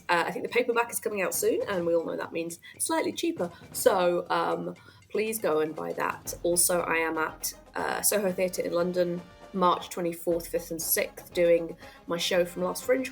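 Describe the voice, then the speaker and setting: A woman's voice: lowish voice, fairly bright tone. A woman comedian guest chatting casually on a podcast, wry and self-deprecating.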